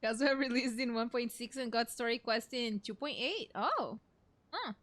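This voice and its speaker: A woman's voice: a little high, lively intonation, moderate pace, a bit bright, dry recording. A woman giving casual let's play commentary as she reacts to a video game.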